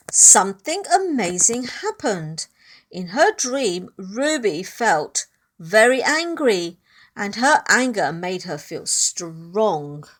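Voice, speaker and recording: Slow-paced woman reading to children. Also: on the high side. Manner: expressive, addresses child listeners directly. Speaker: storyteller reading a children's book aloud. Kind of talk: read-aloud picture book narration with asides to children.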